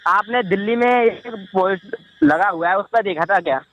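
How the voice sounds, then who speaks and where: A man on a recorded phone call, speaking plainly and haltingly as he tries to get a word into an argument. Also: high-pitched, a bit bright, a little hiss or hum. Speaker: caller. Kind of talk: casual argumentative phone conversation.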